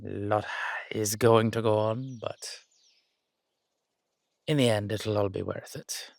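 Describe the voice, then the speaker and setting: A man's voice: on the low side, steady tone, slow pace, gravelly, darkish tone, no echo. A man playing a playful, affectionate young-looking Dullahan boyfriend with an Irish accent, rambling and teasing in a roleplay audio. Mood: reassuring.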